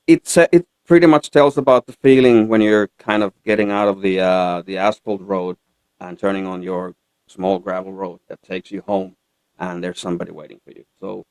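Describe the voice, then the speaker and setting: Male interview guest, Finnish accent, low resonant voice, halting casual delivery, dry studio sound. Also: smooth, no echo, poor audio. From Finland. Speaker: guest country singer-songwriter. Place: radio studio.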